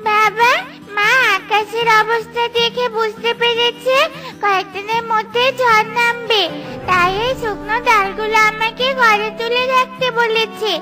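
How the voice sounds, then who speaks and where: A woman's voice: very high voice, steady tone, measured pace, sharp tone, soft background music, pitched above the performer's own voice, exaggerated voice. A woman voicing a dutiful, curious little daughter bird in a children's cartoon. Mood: earnest.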